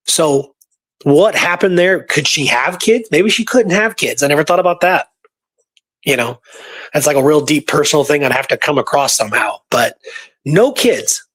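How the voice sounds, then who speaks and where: Male history podcaster, voice a little on the high side, informal conversational storyteller. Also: a bit bright.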